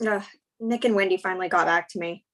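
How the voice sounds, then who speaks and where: A woman playing a frank twenty-seven-year-old girlfriend in a screenplay table read, speaking in a dry, deadpan way. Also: lowish voice, fast talker, lightly acted. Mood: wry, careful.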